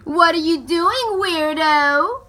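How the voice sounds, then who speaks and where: A woman's voice: extremely high pitch, animated, slow, bright, forceful tone, voice pushed up, exaggerated voice. A woman voicing an obnoxious, jeering schoolboy bully in a children's storybook. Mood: mocking.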